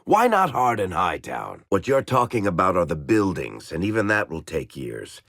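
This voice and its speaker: An actor playing a wry, roguish middle-aged storyteller in a video game, with a gravelly, husky American voice and a sardonic, teasing delivery. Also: male voice, deep voice, huge pitch swings, moderate pace, a bit bright, no echo, studio quality, exaggerated voice. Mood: dry, irritated. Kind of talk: video game party banter.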